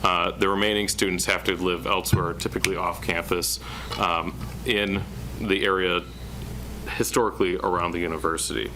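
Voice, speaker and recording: A man presenting as a city planning director at a public meeting, in a self-described monotone with many uh fillers. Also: low-pitched, normal speed, noisy background. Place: council chamber.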